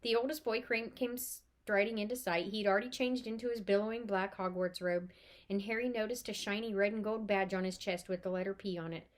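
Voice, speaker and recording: A woman's voice: medium pitch, fairly even intonation, brisk. A woman reading a children's novel aloud as storyteller, expressively and with animation.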